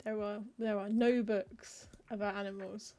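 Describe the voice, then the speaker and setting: Chatty woman, soft mellow voice. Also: mid-range voice, little pitch movement, a little slow. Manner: chatty, wry, muttering lists of titles to herself. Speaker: streamer playing a cozy game. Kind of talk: casual gameplay commentary, reading game text aloud.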